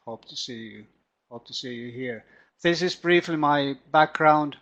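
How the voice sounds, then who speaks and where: A middle-aged man with a Finnish accent gives an online lecture as a teacher trainer, speaking haltingly and often repeating words. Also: medium pitch, a bit bright. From Finland. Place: home study room. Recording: muffled lo-fi online call audio.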